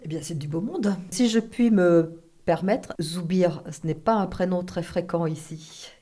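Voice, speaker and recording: A woman conducts a radio interview in a polite, formal manner. Her voice is mellow and dark in tone and sits slightly low for a woman. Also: a little slow.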